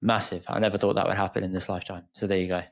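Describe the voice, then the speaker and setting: A man with a fairly low voice hosts a laid-back podcast. He talks easily and laughs often, wrapping up with friendly shout-outs. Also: little pitch movement, very fast, gravelly. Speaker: podcast host. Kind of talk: casual podcast chat.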